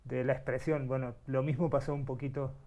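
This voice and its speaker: A man's voice: medium pitch, flat delivery, a little fast, warm tone. A middle-aged man born in Uruguay, telling his life story as an interview guest, thoughtful and searching for words. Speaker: guest, artist.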